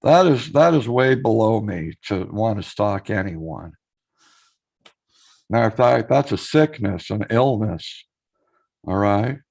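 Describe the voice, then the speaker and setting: A man with a hoarse voice, chatting casually on his live stream, greeting viewers by name and reading out their messages. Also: fairly low pitch, animated, slow pace, pauses often, darkish tone.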